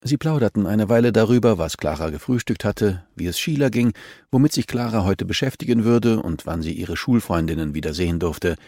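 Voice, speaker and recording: A man's voice: on the low side, animated, brisk, mellow, no echo, studio quality. A man reading scripted fiction as an audiobook narrator.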